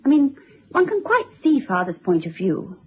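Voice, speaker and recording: High-pitched, gushing young sister. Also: female voice, wildly swooping pitch, moderate pace, husky, full character voice. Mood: excited, confiding. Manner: gushing, breezy, emphatic. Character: lively, worldly young elder sister, affectionate and gossipy. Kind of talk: period radio drama acting. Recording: dull, muffled old radio recording.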